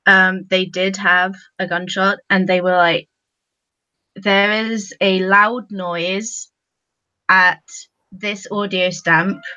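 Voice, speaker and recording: A woman speaks on a podcast panel in a mid-range voice, with dry, close sound that is dull and lo-fi. She thinks aloud haltingly and keeps it conversational. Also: medium pitch, level tone, bright, forceful tone, smooth, no echo. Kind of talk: casual panel discussion.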